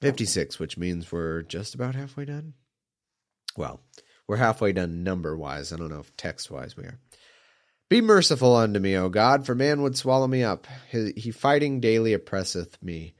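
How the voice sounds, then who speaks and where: A man's voice: on the low side, very animated, normal speed. Congested man reading scripture, sarcastic. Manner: irreverent, mocking, chuckles at the text.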